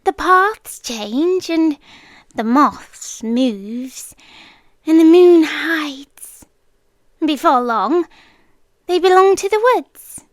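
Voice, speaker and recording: A British woman voicing a girlish, sweetly menacing and possessive deer spirit in an ASMR roleplay, coy and teasing, slipping between soft speech and whispers close to the mic. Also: high voice, lively intonation, very slow, pauses often, no echo, exaggerated voice. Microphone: close microphone.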